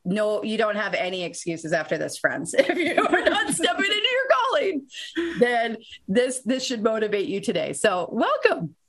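A woman hosts a podcast in an upbeat, enthusiastic way. Her voice is fairly high and swings widely in pitch. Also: huge pitch swings, moderate pace, a bit bright. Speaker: podcast host. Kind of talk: casual interview hosting.

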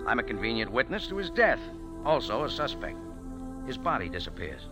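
A man's voice: medium pitch, sing-song. A man in a 1940s radio drama playing a wisecracking café owner who also narrates in a hardboiled tone. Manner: wry, brisk, hardboiled. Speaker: lead and narrator. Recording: old radio broadcast with music.

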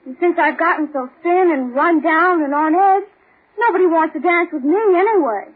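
A woman's voice: extremely high pitch, moderate pace. An actress playing a weary, complaining young woman in a 1940s radio commercial, with a plaintive delivery. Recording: tinny 1940s radio recording.

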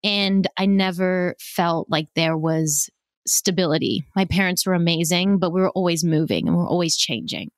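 A woman, a podcast guest speaking candidly and emotionally, often halting as she reflects. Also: fairly low pitch, dry recording, clean studio sound. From born in South Africa, raised in Australia. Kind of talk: candid personal conversation.

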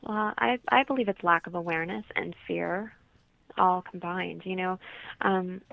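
A woman's voice: on the low side, fairly even intonation, normal speed, vocal fry, no room sound. A woman guest in a radio interview, speaking conversationally as a healer and explaining things earnestly. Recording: tinny phone line.

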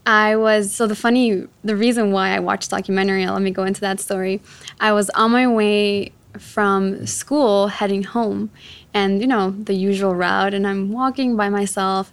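Young woman telling her story, mid-pitched. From born in Ecuador, raised in Chicago from age seven. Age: 20s. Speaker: interview guest, ocean activist. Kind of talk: personal storytelling in an interview.

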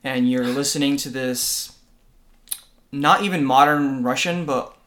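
A man talking through church tradition in a thoughtful, explanatory way. He keeps checking in with his co-host with a quick "right?" His voice sits at an ordinary middle pitch. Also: a bit bright. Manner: earnest, explanatory, asks rhetorical "right?". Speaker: podcast co-host. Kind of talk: conversational discussion on faith and church.

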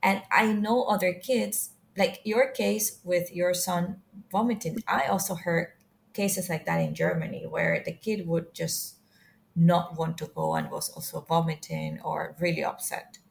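Gravelly-voiced Venezuelan-born woman co-host. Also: on the low side, fairly even intonation, measured pace, slight background noise. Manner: thoughtful, searching for words, laughs easily. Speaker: podcast co-host. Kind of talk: casual chat.

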